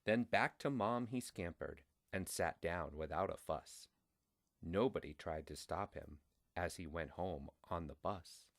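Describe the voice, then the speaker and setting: A man reading a children's story aloud in a deliberate, expressive way. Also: deep voice, gravelly.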